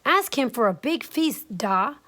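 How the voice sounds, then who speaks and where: A woman's voice: on the high side, big pitch swings, unhurried, a bit bright. A woman voicing a hungry middle boy in a storybook, whiny and pleading.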